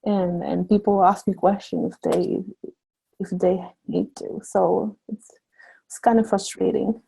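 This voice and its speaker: Woman, Puerto Rican accent, university instructor, earnest, halting, mellow tone. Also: mid-range voice, steady tone, creaky, slightly rough, rough recording. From Puerto Rico. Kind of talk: casual group discussion.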